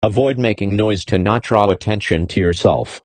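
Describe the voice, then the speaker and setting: A man's voice: lowish voice, moderate pace, darkish tone, no room sound. A man delivering a scripted emergency broadcast announcement in a formal, terse, official manner.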